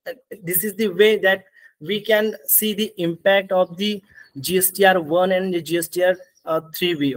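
A man with an Indian accent walking through software slides as a webinar presenter, in halting, repetitive phrasing. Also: high-pitched, low-quality recording. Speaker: webinar presenter, ERP consultant. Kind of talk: software demonstration and slide walkthrough. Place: indoor room, on a video call.

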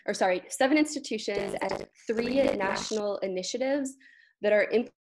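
Young woman, panelist, explanatory discussion, medium pitch, video call. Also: moderate pace, low-quality recording. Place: indoor room on a video call.

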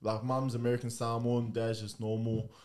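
A young man from New Zealand, a guest in a small studio chat, telling stories in a relaxed, slangy way. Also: mid-range voice, moderate pace. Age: young adult. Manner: relaxed, slangy, storytelling with laughs. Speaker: guest rugby league player. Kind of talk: casual interview chat. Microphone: studio mic on desk stand with headphones.

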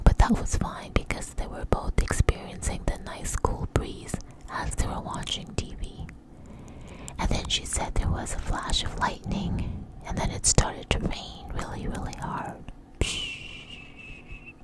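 A woman telling a story in a soft, breathy ASMR whisper, close to the mic, in a conversational, hesitant way. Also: extremely high pitch, low-quality recording.

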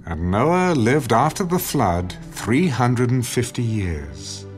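A man narrating scripture in a scripted, expressive, deliberate storytelling manner. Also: mid-range voice. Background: music.

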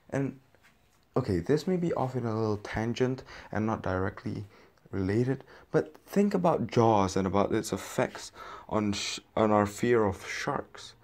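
A man from Indonesia giving a reflective personal monologue as a video essayist, with deliberate pauses and repetition for emphasis. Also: lowish voice.

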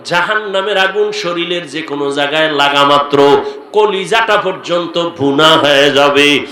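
A man's voice: on the high side, pushed and bright. A man preaching an Islamic sermon with emotional appeals, stretching his calls into melodic chant. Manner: emotional exhortation, direct appeals to listeners, drawn-out melodic calls. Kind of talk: fervent religious sermon, partly chanted. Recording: echoing amplified sermon sound.

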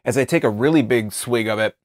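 An American man in his thirties giving a casual, unscripted review to camera, halting and rephrasing as he describes things. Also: medium pitch, no echo, clean studio sound. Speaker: beer reviewer, video host. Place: small indoor studio, seated at a table.

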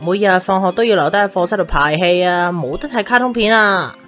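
A woman's voice: medium pitch, fairly quick, a bit bright, soft background music, close to an everyday voice. A woman voicing a reluctant, whiny ten-year-old boy in a Hong Kong Cantonese radio drama. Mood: grumbling.

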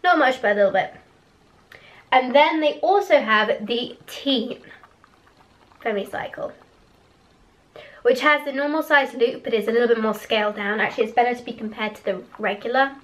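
A teenage girl vlogging from her bedroom, chatty and candid, with a hoarse voice that keeps cracking after a cough. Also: on the high side, normal speed, pauses often, fairly bright tone, low-quality recording. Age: teens. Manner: chatty, candid, a little giggly. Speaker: vlogger reviewing products. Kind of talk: casual chat to camera. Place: small bedroom with posters on the wall. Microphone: webcam in front of her face.

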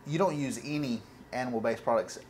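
A man's voice: medium pitch, a little fast, a little mellow. An American man hosting a casual cooking show interview, asking questions and agreeing along the way. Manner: affirming, asks questions. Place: firehouse kitchen, indoors. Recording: clear kitchen noise, sizzling pan.

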